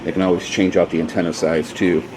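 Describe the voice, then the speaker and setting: A man in a casual, hesitant show-and-tell, thinking out loud like a DIY vlogger in an informal, rambling way. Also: low voice, little pitch movement, quick pace. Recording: clearly noisy, radio chatter at times.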